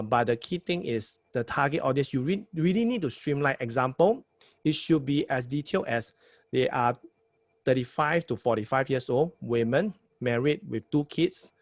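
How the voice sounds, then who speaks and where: A man's voice: mid-range voice, no room sound. A Singaporean man with a mid-range voice talks a newcomer through podcasting in an emphatic, repetitive way. His sound is close and dry, with no room around it. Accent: Singaporean English. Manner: emphatic, repeats words, tag questions like "right?". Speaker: podcast host advising a new host. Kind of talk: casual advice chat.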